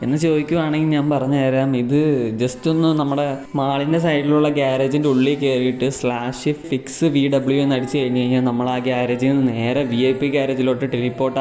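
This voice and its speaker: Male gaming YouTuber, quick informal explainer delivery with music behind. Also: mid-range voice, darkish tone. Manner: chatty, explanatory, addresses viewers directly. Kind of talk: scripted gameplay tutorial narration, informal. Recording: roomy echoing voice-over under music. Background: background music.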